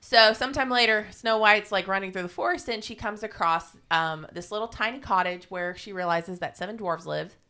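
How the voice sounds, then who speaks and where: A woman's voice: mid-range voice, a little fast, hardly any pauses, pushed and bright, smooth. A woman podcast co-host casually retelling a fairy tale in an irreverent, salty-tongued, informal way.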